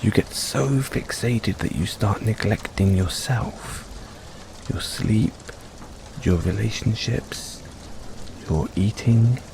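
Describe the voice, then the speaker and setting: A man playing a caring, gently teasing boyfriend in a soft-spoken, playful comfort roleplay for an overworked partner. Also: on the low side, slow pace, gravelly, background noise. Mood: tender, gentle. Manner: soft-spoken, playful, coaxing, chuckles often.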